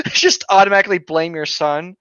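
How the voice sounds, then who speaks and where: A man's voice: high-pitched, wildly swooping pitch, moderate pace, a bit bright, clean tone, no room sound. A man co-hosting a podcast, reading a story aloud with playful, sweary asides, recorded on a slightly muffled microphone. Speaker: podcast co-host reading horror fiction aloud.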